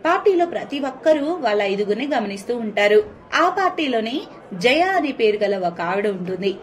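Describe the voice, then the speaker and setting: A woman with a fairly high voice narrates a cartoon story. She reads in a scripted storybook style, as the teller of the tale. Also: sing-song, moderate pace.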